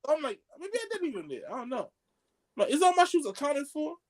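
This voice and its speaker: A man telling a story among friends on a podcast, excitable and slangy, acting out the dialogue as he goes. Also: extremely high pitch, fast talker. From Haitian background. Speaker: podcast co-host.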